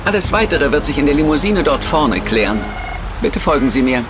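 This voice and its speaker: A henchman chauffeur in an audio drama. He is formally courteous in a clipped way, with menace underneath. Street and car noise is clear behind him. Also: on the high side, very animated, brisk. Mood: politely threatening. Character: polite but menacing male chauffeur henchman. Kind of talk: German audio drama acting.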